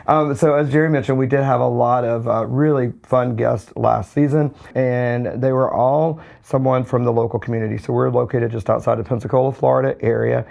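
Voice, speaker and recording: A hoarse man recovering from a cold, co-hosting a podcast in a relaxed, rambling way. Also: mid-range voice, brisk, few pauses. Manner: relaxed, rambling with many fillers and restarts. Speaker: podcast co-host, physician. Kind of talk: casual podcast chat. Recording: slightly dull lo-fi sound.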